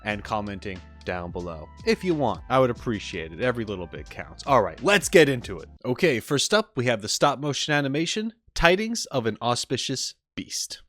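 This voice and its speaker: A man's voice: mid-range voice, sing-song, moderate pace, few pauses, fairly bright tone, faint music behind. A man hosting casual reaction commentary, chatty and enthusiastic about what he is watching. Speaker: YouTube host and animator.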